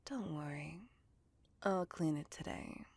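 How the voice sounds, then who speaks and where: A woman voicing a centuries-old female vampire in an intimate ASMR roleplay, possessive, doting and teasing, in a soft, breathy, coaxing whisper. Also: low-pitched, slow, dry recording, exaggerated voice.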